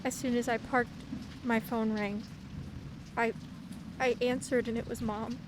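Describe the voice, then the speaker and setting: An actress playing a young woman, shaken and near tears, who talks haltingly and repeats her words. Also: a little high, level tone, natural voice. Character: young woman June, upset daughter on phone. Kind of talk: radio play acting. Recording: heavy rain noise behind.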